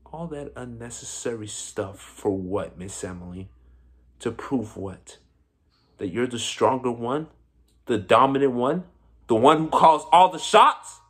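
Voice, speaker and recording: Man playing a husky, slow-talking vengeful businessman. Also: lowish voice, lively intonation, warm tone. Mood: brooding. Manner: slow, deliberate, brooding, with pointed pauses. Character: vengeful self-made Italian businessman, bitter, menacing, triumphant. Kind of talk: theatrical dramatic monologue.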